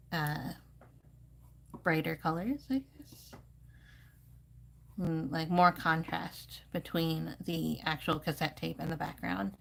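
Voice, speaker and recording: A woman's voice: low voice, gravelly. A woman giving casual, rambling live commentary as she paints, thinking aloud like an informal art teacher.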